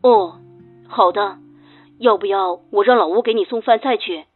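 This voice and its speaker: A woman's voice: medium pitch, moderate pace, narrow, tinny audio, soft background music. A woman voicing a motherly, deferential older housekeeper, solicitous in manner, through a phone filter in an audiobook. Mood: attentive.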